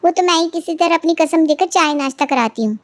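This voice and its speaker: A woman acts out an indignant young daughter-in-law in a cartoon moral tale. She sounds high and insistent, forever complaining, in a dry recording. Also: brisk, dry recording. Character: aggrieved, indignant young daughter-in-law, eager to expose wrongs. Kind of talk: cartoon family moral story dialogue.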